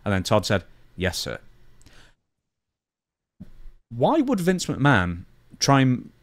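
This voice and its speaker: A man's voice: mid-range voice, huge pitch swings, measured pace, no echo, clean studio sound. A British man co-hosting a podcast, chatting casually and bluntly through news stories in slangy language. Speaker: podcast co-host.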